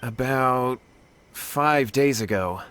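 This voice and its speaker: A man's voice: mid-range voice, slow, fairly bright tone, a little hiss or hum. An actor playing an earnest, anxious young man in a video game, speaking in a pleading, deferential way. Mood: anxious, insistent. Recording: clear game music behind voice.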